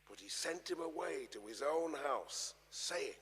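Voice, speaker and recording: A man reading scripture aloud as a dramatic narrator, with deliberate, expressive storytelling delivery. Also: fairly high pitch, husky. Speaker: actor reading the narrator of a gospel. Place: large church, live performance before an audience. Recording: long echoey church reverb.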